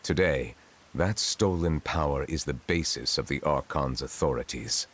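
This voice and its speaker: Very deep, solemn dragon sovereign. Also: male voice, fairly bright tone, husky, heavily acted. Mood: formal, explanatory. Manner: formal, measured, reserved, courteous. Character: dignified male water dragon sovereign in human guise, solemn. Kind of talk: English video game voice acting. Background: game music.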